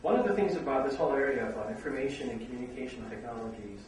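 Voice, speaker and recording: A man's voice: medium pitch, racing. Middle-aged guy hosting a seminar, lots of ums, echoey room, mic far off, noisy. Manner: hesitant, full of fillers. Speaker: seminar host, vice dean. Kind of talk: opening remarks at a university seminar. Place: lecture room.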